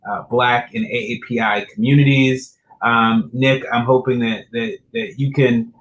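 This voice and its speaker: Halting male panel moderator. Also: medium pitch, fairly even intonation, a bit bright, low-quality recording. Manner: halting, many uh and um fillers.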